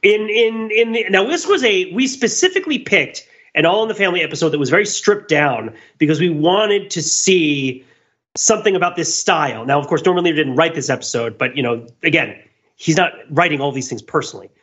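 A man's voice: a little high, animated, a little fast, a bit bright, muffled recording. A man co-hosting a podcast, explaining his thoughts in a casual, rambling, enthusiastic analytical discussion. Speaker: podcast co-host and commentator.

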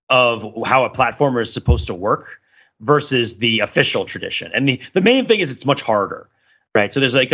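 Bright-voiced man rambling through explanations. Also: mid-range voice, dull audio. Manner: rambling, discursive explainer who often checks with "right?". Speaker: podcast co-host. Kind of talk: casual explanatory chat.

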